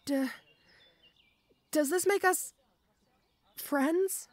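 Audio roleplay: woman as a strong but gentle orc schoolgirl, high voice, stammering and shy, very dry recording. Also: drawn-out words, no room sound, exaggerated voice. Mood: hopeful, bashful. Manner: hesitant, stammering, bashful.

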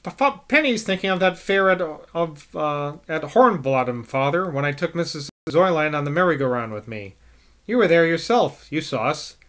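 A man's voice: on the high side, lively intonation, normal speed, a bit bright. A man doing an earnest, bashful young lad. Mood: flustered. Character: earnest, easily embarrassed young man, the clergyman's son.

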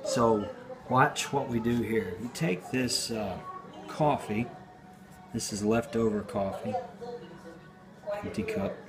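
Slow, deadpan man in a home kitchen. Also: mid-range voice. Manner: slow, rambling, deadpan, with hesitations. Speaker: home cooking video host. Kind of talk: casual home cooking demo. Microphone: phone camera on the counter.

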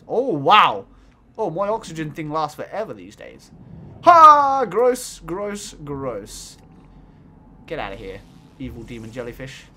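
Giggly young man gaming, wildly animated. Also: medium pitch, background noise. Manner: giggly, swings from mumbling to excited shouts. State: croaky voice. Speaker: gaming YouTuber. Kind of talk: excitable let's-play commentary.

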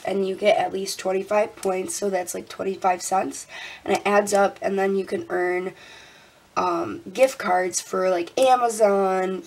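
A young woman vlogging casually at her desk. She sounds congested and a little raspy, laughs often and counts money out loud. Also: medium pitch, slight background noise, low-quality recording. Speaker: budgeting vlogger. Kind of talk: casual talk while counting cash. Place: indoors at a desk.

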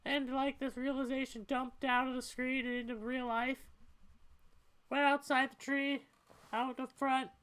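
A troubled young cat girl from a story game, played by a woman, with a high voice. She talks plainly and hesitantly, weary and confessional. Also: level tone, measured pace, fairly bright tone, clear, resonant tone.

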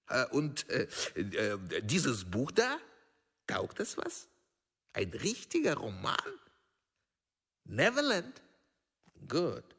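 An actor in a radio drama playing a pompous, impatient, blustering veteran film producer. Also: male voice, fairly high pitch, a bit bright, husky, big-room sound, exaggerated voice. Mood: curious, amused. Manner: pompous, hesitant filler sounds, switches languages, barks orders.

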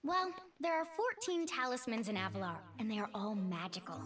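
An actress voicing a spirited young female fawn in a video game, brisk and take-charge. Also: a little high, close to an everyday voice. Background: game music.

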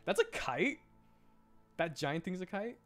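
A man's voice: sits high, very animated, measured pace, music in the background. A young man streaming a video game, chatting casually and rambling, often repeating words as he thinks aloud.

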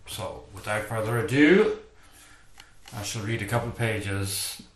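An older Irish man with a low voice reads from his own book in a lively, playful storytelling way. He's at a desk in his home study on a webcam, and the room rings with a noticeable echo. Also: a little slow, a little hiss or hum. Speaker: author reading his book. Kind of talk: reading a book passage aloud. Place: home study with bookshelves, indoors. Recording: echoey room.

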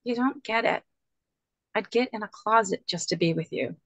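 A woman's voice: medium pitch, normal speed, creaky, dry recording. A woman playing a wistful, guarded woman in love in a screenplay table reading over video call.

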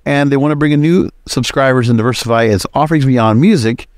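A man's voice: medium pitch, fast talker, no room sound. A man hosting a solo podcast, giving news commentary in a conversational, loosely off-the-cuff way into a close microphone. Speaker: podcast host.

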